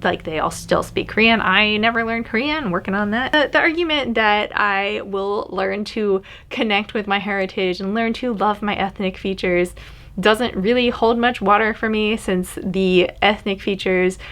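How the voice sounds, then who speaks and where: A woman's voice: medium pitch, moderate pace, fairly bright tone. A young adult woman talking candidly to camera in a casual personal vlog, laughing now and then. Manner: candid, chatty, laughs often. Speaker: vlogger.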